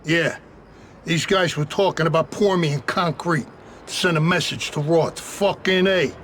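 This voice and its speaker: A man's voice: a little high, normal speed, fairly bright tone, a little hiss or hum, lightly acted. A man playing a rattled, foul-mouthed mob informant in a video game, blunt and streetwise. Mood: rattled, relieved. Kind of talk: video game cutscene acting.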